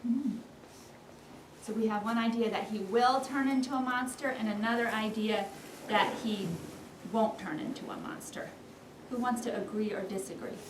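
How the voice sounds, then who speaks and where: A woman's voice: on the high side, fairly even intonation, moderate pace. A woman leading young children through a class discussion, guiding them with questions and countdowns in an encouraging way. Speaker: first-grade teacher leading a class discussion. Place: indoor elementary school classroom. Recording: echoey classroom, distant mic, faint noise.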